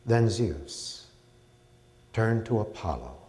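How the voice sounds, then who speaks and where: Slow, low-voiced man in echoing hall. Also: very animated, mellow. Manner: measured, deliberate, solemn phrasing. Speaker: professor and translator reading. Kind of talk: dramatic poetry recital, epic narration. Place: indoor hall, public reading. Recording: echoey hall with long reverb.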